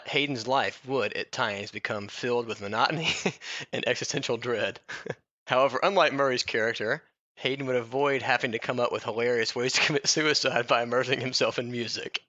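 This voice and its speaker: Man in his 30s, bright forceful voice, dry, slightly lo-fi sound, podcast co-host. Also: mid-range voice, fairly quick, no room sound. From Alabama, United States. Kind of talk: casual conversational podcast talk.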